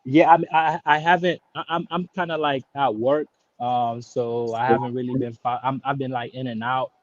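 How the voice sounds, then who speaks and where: A man in his thirties, born in Haiti, speaks casually on a call, pausing and restarting often and loosening into slang as he goes. His voice sits at an ordinary pitch. The sound is dry and slightly muffled, lo-fi. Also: fairly even intonation, dry recording. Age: 30s. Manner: hesitant, many restarts and fillers, laid-back slang. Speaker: caller. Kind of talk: casual live-stream call-in chat.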